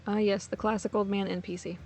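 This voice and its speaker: A woman's voice: medium pitch, level tone, fast talker, warm tone, slight background noise. A woman giving casual, sweary let's play commentary with snarky reactions. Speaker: streamer.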